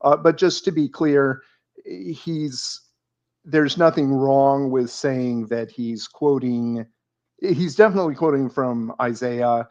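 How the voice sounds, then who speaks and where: A man's mid-pitched voice comes through slightly dull and muffled. He co-hosts a relaxed theology talk, explaining at length and circling back with fillers and repeated starts. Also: slow. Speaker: podcast co-host. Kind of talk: casual theological discussion.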